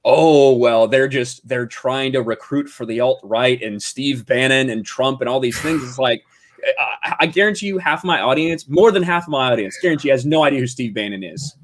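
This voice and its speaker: Mid-pitched man, earnest commentator. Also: moderate pace. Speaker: online video commentator. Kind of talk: casual commentary.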